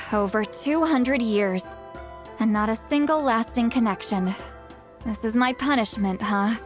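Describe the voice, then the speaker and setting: A woman's voice: fairly high pitch, a little slow, faint music behind, natural voice. A woman voicing a proud, centuries-old but youthful-sounding sorceress in a video game, blunt and insistent. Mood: wistful, bitter.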